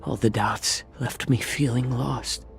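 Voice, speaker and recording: Slow, solemn, weary healer. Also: male voice, mid-range voice, steady tone, creaky, faint music behind. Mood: sorrowful, grave. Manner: solemn, measured, restrained. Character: weary, resolute male healer, grave and sorrowful. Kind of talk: dramatic video game voice acting.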